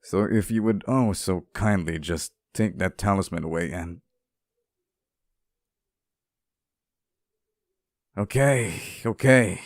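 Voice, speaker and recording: A man's voice: sits low, dry recording, studio quality. A man playing a sweet, clingy, centuries-old sealed demon in an intimate ASMR roleplay, speaking tenderly and hesitantly to one listener. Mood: coaxing.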